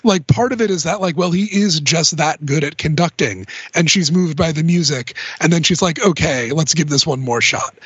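A man's voice: a little high, normal speed, hardly any pauses, fairly bright tone, breathy edge, no echo, lo-fi sound. A man talking as a podcast panelist, explaining ideas in a discursive, casual way with plenty of asides.

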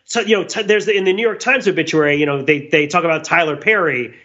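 A man's voice: on the high side, quick pace, bright, forceful tone, highs cut off. A man co-hosting a podcast in casual, analytical discussion, making points emphatically and often checking in with "right?". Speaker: podcast co-host.

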